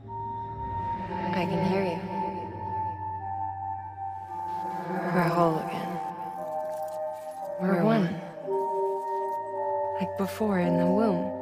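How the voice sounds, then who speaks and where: A woman playing a tender, grieving scientist in a cinematic video game, speaking slowly and in hushed tones. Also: lowish voice, heavily acted. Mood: tender, intense. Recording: loud music behind the voice.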